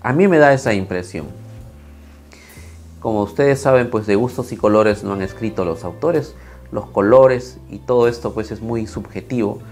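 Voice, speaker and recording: A man in his thirties, slightly hoarse, talking casually on a lapel mic in a small home studio, with many fillers and restarts. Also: on the low side, normal speed, a little mellow, soft background music. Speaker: video creator reviewing camera footage. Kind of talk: casual talk to camera, then screen walkthrough.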